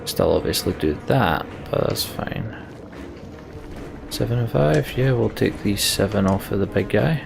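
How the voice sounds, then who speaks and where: A man's voice: on the low side, animated, a little slow, vocal fry, background noise. A man giving casual gameplay commentary, thinking aloud in a muttering way over game music. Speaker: let's play gamer commentating.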